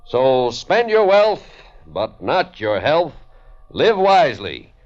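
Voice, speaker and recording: A man's voice: medium pitch, big pitch swings, slow pace. A man playing a brusque, self-important forty-year-old businessman poet in an old-time radio detective drama, speaking in clipped, pompous phrases. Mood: pompous declaiming. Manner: clipped telegraphic speech, pompous. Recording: muffled vintage radio recording.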